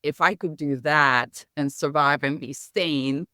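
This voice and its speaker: A middle-aged woman who grew up speaking Hungarian, now with an American accent, telling her career story in a measured, reflective way as a podcast guest. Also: low-pitched, bright, forceful tone, dry recording, clean studio sound. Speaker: guest, company founder. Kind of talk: podcast interview.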